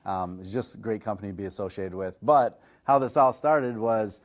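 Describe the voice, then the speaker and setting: A middle-aged man, a chiropractor, answering interview questions in a relaxed, self-deprecating, chatty way. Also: fairly low pitch, moderate pace. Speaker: chiropractor and massage chair reviewer. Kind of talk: casual podcast interview answers.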